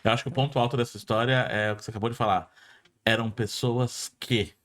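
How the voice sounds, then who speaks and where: Lively Brazilian man hosting a podcast. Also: mid-range voice, quick pace. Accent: Brazilian Portuguese. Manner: lively and conversational, with frequent restarts. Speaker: podcast host. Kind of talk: casual podcast interview.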